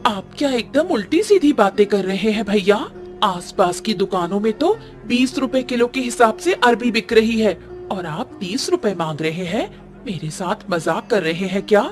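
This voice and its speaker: Cartoon market shopper played by a woman, mid-range voice, brisk, stubborn and insistent. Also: mid-range voice, animated, lightly acted. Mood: indignant. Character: firm, haggling, no-nonsense female market customer. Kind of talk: Hindi cartoon story voice acting. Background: background music.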